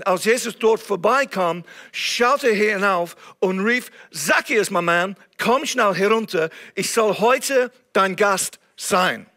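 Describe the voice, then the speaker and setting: A man's voice: sits high, sharp tone. A man from England preaching a sermon in German with an English accent, earnest and now and then searching for words. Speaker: guest preacher.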